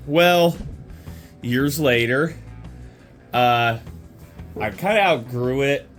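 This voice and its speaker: Man, sore throat, slightly off voice, loose rambling garage-vlog delivery, mid pitch. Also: very slow, fairly bright tone, faint music behind. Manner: rambling, with many fillers and restarts. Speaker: motorcycle restoration vlogger. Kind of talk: casual walkaround vlog. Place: home garage.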